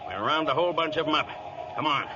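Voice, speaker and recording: Gruff American police chief acted by a man, noisy old radio. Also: fairly high pitch, lively intonation, quick pace, dull audio, close to an everyday voice. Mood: brusque, calm. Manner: gruff, clipped, commanding. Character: brusque small-town male police chief, bossy, unflappable. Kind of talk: 1930s-40s radio acting.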